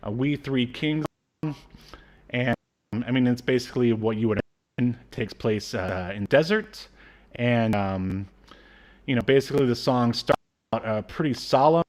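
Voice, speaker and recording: A man's voice: medium pitch, normal speed, pauses often, a little mellow. A man in his thirties co-hosting a casual media review podcast, rambling hesitantly with dry asides. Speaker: podcast co-host. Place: small room at home. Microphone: video call setup.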